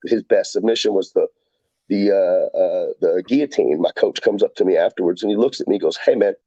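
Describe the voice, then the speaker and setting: Guest fighter, male, lower voice, mellow tone, excitable and profane, dry dull recording. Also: brisk, breathy edge, dry recording. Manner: rambling, excitable, swears freely, acts out quotes. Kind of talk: casual podcast chat.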